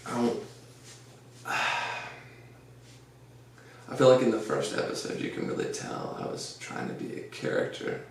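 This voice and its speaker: Gravelly deep-voiced man rambling in an echoey room. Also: huge pitch swings, measured pace, long pauses, distant mic. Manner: rambling, earnest pep talk with many fillers. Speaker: podcast host. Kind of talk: casual podcast monologue. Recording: echoey room, off-mic, faint noise.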